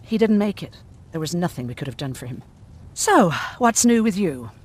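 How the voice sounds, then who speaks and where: Animated, higher-pitched, blunt male survivor. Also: normal speed. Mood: guarded. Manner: blunt, sardonic. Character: blunt, irritable camp survivor, a man weary and sardonic. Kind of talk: video game voice acting.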